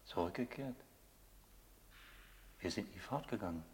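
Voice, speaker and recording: An actor playing an enigmatic former soldier turned smuggler in a radio play, grave, resigned and cryptic. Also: male voice, on the low side, lively intonation, a little slow, a little mellow, slight background noise. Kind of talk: German radio play acting. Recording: long reverberant hall sound.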